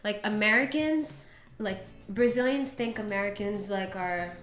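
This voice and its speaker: A woman's voice: medium pitch, measured pace, a bit bright, reverberant, faint music behind. A woman hosting a casual interview in a very informal, chatty way, peppering her sentences with "like". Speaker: interviewer, host. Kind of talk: casual chat.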